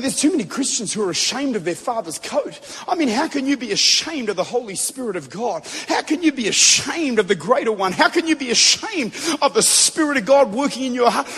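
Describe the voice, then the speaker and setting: A man preaching with a very high, bright, tense voice that carries a husky edge. He is fervent, rising into shouted declarations. His voice rings with the long reverb of a large church hall. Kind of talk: Pentecostal sermon. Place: large church before a congregation.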